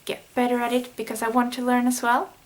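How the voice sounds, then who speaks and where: Swedish woman, native speaker of Swedish, earnest lesson vlogger; light-pitched, steady, little melody. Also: quick pace. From Sweden. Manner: earnest, thinking aloud with small fillers. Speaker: language learning vlogger. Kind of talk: casual explanatory vlog lesson.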